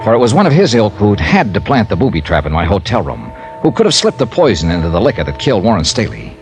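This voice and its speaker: Hard-boiled insurance detective, old radio show, lowish voice with lots of swing, dry and wry. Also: male voice, wildly swooping pitch, fast, soft background music. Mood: tense narration. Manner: wry, clipped, matter-of-fact. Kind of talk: 1950s radio detective acting and narration.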